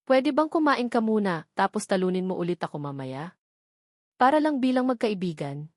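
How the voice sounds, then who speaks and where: A woman acts out a young nanny in an audio drama. She is gentle and patient, coaxing with a soft, playful warmth. The sound is dry, with no room around it. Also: mid-range voice, very animated, moderate pace, dry recording. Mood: tender, coaxing.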